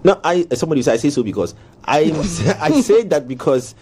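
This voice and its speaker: A man with a Ghanaian accent hosting a panel discussion, building up haltingly to pointed questions. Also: fairly high pitch, sing-song, darkish tone, a little hiss or hum. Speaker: talk show host.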